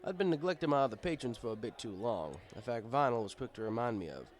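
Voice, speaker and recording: A man's voice: mid-range voice, animated, fast talker. A man reading a first-person story as scripted narration, in a wry, conversational manner. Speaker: story reader.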